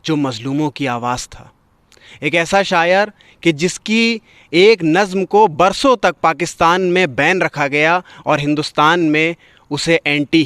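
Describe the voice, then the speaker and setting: A man's voice: on the high side, a bit bright, clear, resonant tone, no echo. A man hosting a literary programme in a formal, courteous, rhetorical way. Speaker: host.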